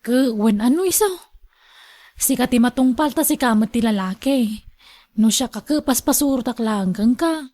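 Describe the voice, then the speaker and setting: A woman's voice: on the high side, lively intonation, normal speed, a little mellow. A woman playing a young new wife, polite and hesitant, in an Ilocano radio drama. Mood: questioning, agreeing. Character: young new wife, meekly polite to her mother-in-law.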